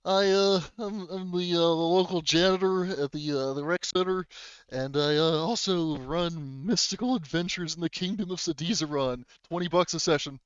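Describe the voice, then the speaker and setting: Man acting as defensive janitor, hesitant, stammering, smooth highish voice, tabletop roleplay. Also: normal speed, no room sound, muffled recording, natural voice. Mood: sheepish. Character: sheepish, defensive male janitor who runs fantasy games.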